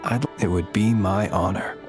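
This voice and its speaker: A man voicing a solemn, gentle and courteous guardian of the dead in a video game, speaking formally and with reverence. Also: deep voice, fairly even intonation, moderate pace, over music, lightly acted.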